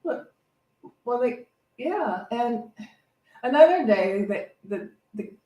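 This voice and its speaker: Woman reverend, reflective, anecdotal, halting unscripted delivery, echoey room, distant mic. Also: on the high side, lively intonation, mellow. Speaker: reverend giving a spiritual talk. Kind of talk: conversational, unscripted inspirational talk.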